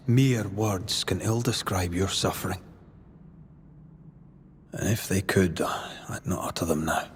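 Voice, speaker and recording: A man playing a grieving, devoted banisher of ghosts in a video game cutscene, solemn and measured, with old-fashioned phrasing. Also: deep voice, a little hiss or hum.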